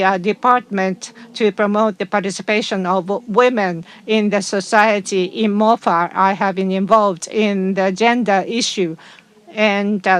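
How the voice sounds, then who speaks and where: Woman interpreting with halting fillers. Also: mid-range voice. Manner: halting, frequent fillers and restarts. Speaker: conference interpreter. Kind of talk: simultaneous interpretation into English.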